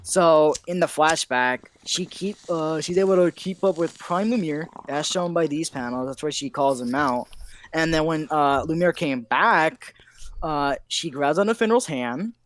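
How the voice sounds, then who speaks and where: A man's voice: fairly high pitch, a little slow, a bit bright. A man co-hosting a casual online podcast, insistent, arguing his point by citing chapters and panels. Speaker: podcast co-host.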